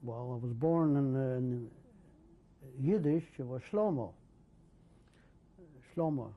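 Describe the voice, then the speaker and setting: A man in his sixties, from Poland, recalling his early life in an oral history interview, speaking haltingly with many pauses and restarts. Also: medium pitch. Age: 60s. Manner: hesitant, many ums and restarts. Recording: dull lo-fi 1990s tape.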